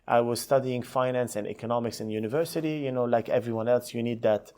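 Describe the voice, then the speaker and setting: A man's voice: medium pitch, steady tone, fast, mellow. A middle-aged man, telling his career story conversationally as an interview guest. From Lebanon. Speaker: podcast guest, hotel executive.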